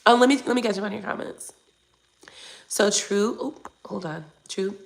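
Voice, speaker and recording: A woman in her forties talks to her live-stream viewers in a loose, chatty way, cursing now and then. Her voice has a gravelly fry at the bottom. She is under the weather and keeps clearing her throat. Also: medium pitch, normal speed, low-quality recording. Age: 40s. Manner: chatty and candid, swears casually. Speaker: tarot reader hosting a live stream. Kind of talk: casual chat to viewers, reading comments.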